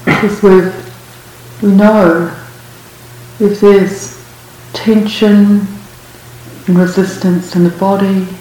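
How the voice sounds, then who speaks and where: Female meditation guide. Extremely slow, even delivery with long pauses, calm and unhurried, recorded in a very reverberant room. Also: medium pitch. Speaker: meditation teacher. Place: large room at a retreat center. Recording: very echoey room, faint hiss.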